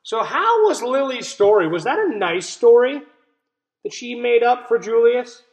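A young man reading a children's picture book aloud as a teacher, expressively, pausing to explain and ask questions. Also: very high-pitched, very animated. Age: young adult. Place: small indoor room. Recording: roomy, echoey room sound.

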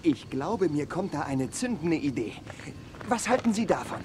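A cheeky eager western deputy, bouncy highish voice, noisy background. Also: male voice, sing-song, normal speed. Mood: persuasive. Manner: eager, persuasive. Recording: noisy outdoor sound effects.

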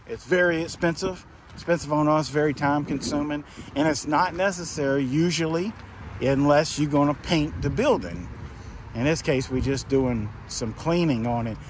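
A man's voice: on the high side, moderate pace. A middle-aged man running a pressure washing business, giving a casual, plainspoken how-to walkthrough outdoors at a job site. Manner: plainspoken, folksy, instructive. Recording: faint outdoor background noise.

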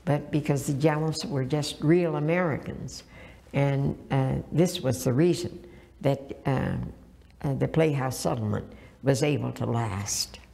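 An older woman recalling the past in a documentary interview, measured and pausing with fillers. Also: a bass voice, vocal fry, mellow.

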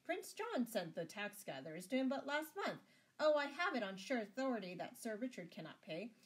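A woman voicing a greedy, gloating medieval abbot who is quick to rage, in a storybook style. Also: very high-pitched, big pitch swings, voice pushed up. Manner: chuckling, gloating, blustering. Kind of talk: storybook character voice.

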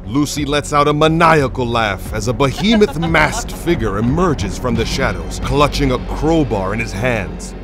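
A man's voice: medium pitch, expressive, moderate pace, few pauses, close to an everyday voice. A man narrating a supernatural radio play as a grave, dramatic storyteller. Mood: tense, dramatic. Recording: voice over clear music.

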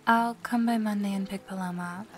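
A woman playing a kind, slightly awkward co-parent in an audio drama, gentle and hesitant. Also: mid-range voice, fairly even intonation, brisk, soft background music, close to an everyday voice. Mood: gentle, forgiving.

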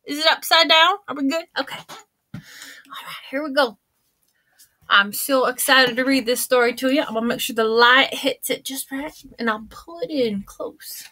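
A woman's voice: high-pitched, normal speed, pushed and bright. A woman voicing a folksy old grandma who reads a storybook aloud in a chatty, homespun, theatrical way. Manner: chatty and theatrical, gasping and exclaiming.

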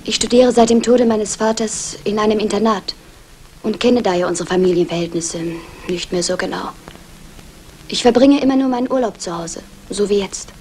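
A woman's voice: medium pitch, moderate pace, a little mellow, natural voice. An actress playing a reserved, polite young student in a 1960s German radio crime play, guarded in her answers. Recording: noisy old radio play recording.

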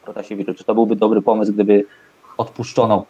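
A man's voice: on the low side, level tone, fast talker, warm tone, husky, low-quality recording. A young man hosting a casual sports discussion over a video call. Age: young adult. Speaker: host of a sports talk show. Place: indoor room at home, video call. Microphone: video call microphone.

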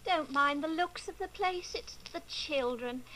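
A woman's voice: high-pitched, a little slow, fairly bright tone, poor audio. A woman playing a harried, apologetic housewife and mother in an old-time radio style drama. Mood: flustered. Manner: flustered, apologetic.

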